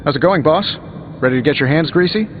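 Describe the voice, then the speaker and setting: An actor playing a wisecracking, easygoing wealthy superhero inventor in a video game, talking in a glib, wry, conversational way. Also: male voice, fairly high pitch, expressive, fast talker, muffled recording, over music, close to an everyday voice. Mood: wry, breezy. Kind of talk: video game dialogue acting.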